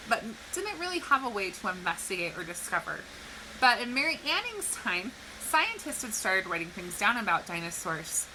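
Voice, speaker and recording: A woman speaking like a teacher to young children, explaining things clearly and posing questions in an educational read-aloud. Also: on the high side, sharp tone, slight background noise, poor audio. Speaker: teacher reading a picture book to children.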